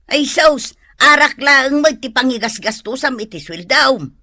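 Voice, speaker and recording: A woman's voice: sits high, lively intonation, measured pace, bright, forceful tone, dry recording, clean studio sound, full character voice. An actress playing a plaintive, nagging elderly mother with an Ilocano Filipino accent in a radio drama.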